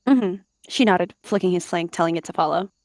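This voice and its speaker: A woman's voice: fairly low pitch, fairly even intonation, fast talker, no echo. A woman narrating her part of a scripted animal roleplay story. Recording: music behind the voice. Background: music.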